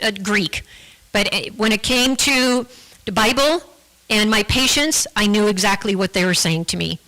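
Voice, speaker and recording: A woman giving a personal testimony in church, earnest and halting, often restarting her words. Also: a little high, bright, forceful tone, rough recording. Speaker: guest speaker giving a testimony.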